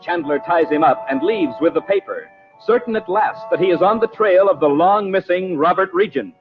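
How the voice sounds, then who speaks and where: A man's voice: high-pitched, expressive, normal speed. A man giving scripted, dramatic narration as announcer of an old-time radio serial. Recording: dull old radio recording with music. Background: music.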